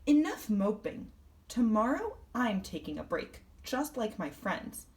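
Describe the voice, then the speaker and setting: Lady being a self-important little lizard guy from a kids book, super animated, takes his time. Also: on the high side, measured pace. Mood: eager, proud. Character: vain, dramatic male class pet lizard journaling his adventures. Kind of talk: first-person children's storybook read-aloud.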